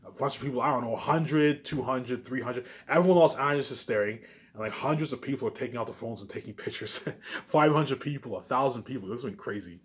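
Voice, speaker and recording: A man's voice: mid-range voice, fast. A man in a rambling informal monologue to viewers, boastful and circling back over the same phrases. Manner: boastful, self-aggrandizing.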